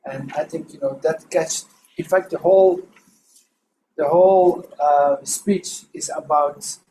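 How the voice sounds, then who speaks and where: A man's voice: a little high, takes it slowly, mellow, slight background noise, rough recording. A man giving upbeat, encouraging feedback on a speech at a club meeting. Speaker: speech evaluator.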